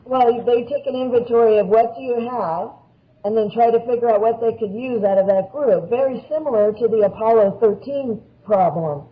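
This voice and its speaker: A woman's voice: a little high, moderate pace. A woman speaking as a guest expert, explaining practical matters in a plain-spoken, conversational way. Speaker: nurse, podcast guest. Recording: dull lo-fi podcast audio.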